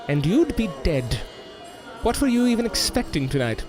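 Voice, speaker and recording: A man's voice: high-pitched, very animated, moderate pace, over music. A man playing a bitter, menacing, washed-up stage entertainer in a theatrical style for an audio drama. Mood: bitter menace.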